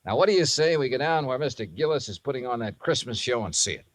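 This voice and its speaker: A man acts a patient, kindly detective from 1950s radio drama. His pitch moves widely, from soft coaxing to wry delivery. He sounds easygoing and gentle throughout. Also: animated, fast, no echo, natural voice. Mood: sympathetic. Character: adult male private investigator, kindly, wry, patient. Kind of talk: 1950s radio drama acting and first-person narration.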